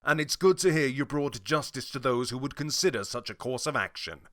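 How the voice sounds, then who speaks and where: A man's voice: mid-range voice, lively intonation, fairly quick, a bit bright, no echo, clean studio sound, natural voice. An amateur actor, a man playing a stern, loyal soldier of a fantasy empire in a game audition, with popping P sounds and strained breath at phrase ends. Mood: solemn, grateful.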